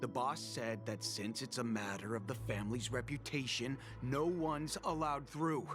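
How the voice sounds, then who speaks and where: An actor playing a stubborn, exasperated guard in a video game, officious and theatrically self-important. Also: male voice, mid-range voice, normal speed, a bit bright, soft background music, lightly acted. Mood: firm, weary. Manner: melodramatic, officious, swinging from formal to pleading.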